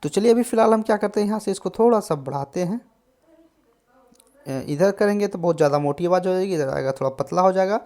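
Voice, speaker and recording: A man hosting a casual tutorial on music software, explaining step by step and often adding short check-in tags. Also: high voice, fast. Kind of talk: casual screen-recorded tutorial.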